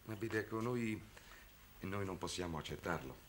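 An actor playing a kindly, gentle but firm young priest in a 1960s television drama, speaking reassuringly with small hesitations. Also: male voice, sits low, moderate pace, a little hiss or hum, lightly acted. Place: studio set with a group of children.

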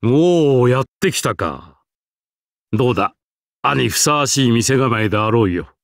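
A man's voice: mid-range voice, lively intonation, unhurried, gravelly, no room sound, clean studio sound. A man voicing a proud, genial old king in a game, with a stately, archaic way of speaking. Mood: proud, welcoming. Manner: stately, archaic, self-satisfied. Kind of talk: Japanese game character voice acting.

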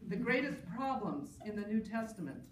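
A woman's voice: a little high, very animated, moderate pace. Casting: woman, middle-aged scholar giving a wry conference tribute, distant mic, reverberant room. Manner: wry, anecdotal, amused asides. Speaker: panel speaker, New Testament scholar. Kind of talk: personal tribute talk at an academic conference, part read from notes. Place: large indoor conference session room. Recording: roomy, distant mic, clear background noise.

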